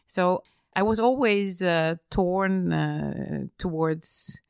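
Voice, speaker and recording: A woman's voice: on the low side, very slow, vocal fry, a little mellow, clean tone, no room sound, clean studio sound. A middle-aged woman from Austria, speaking as an interview guest in a casual conversation, hesitant with many fillers and restarts. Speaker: interview guest, business executive.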